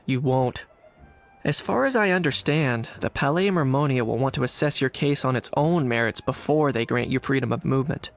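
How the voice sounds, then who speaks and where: An actor voicing a calm, dry young man. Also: mid-range voice, moderate pace, lightly acted. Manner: calm, dry, matter-of-fact. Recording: game cutscene with music behind.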